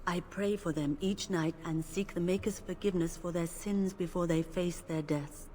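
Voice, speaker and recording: An actress playing a devout, principled priestess who speaks in a solemn, measured way. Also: female voice, on the low side, steady tone, a little mellow, a little hiss or hum, natural voice. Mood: worried. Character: devout older female priestess, gentle but principled. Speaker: game character. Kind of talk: video game voice acting. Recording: game audio with faint ambience.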